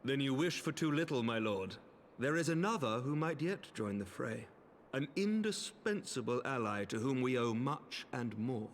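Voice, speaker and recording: An actor playing a noble, resolute knight commander in a video game, speaking formal, eloquent English. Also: male voice, mid-range voice, expressive, normal speed, a little hiss or hum, lightly acted. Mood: gracious, earnest. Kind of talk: scripted video game cutscene acting. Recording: music behind the voice.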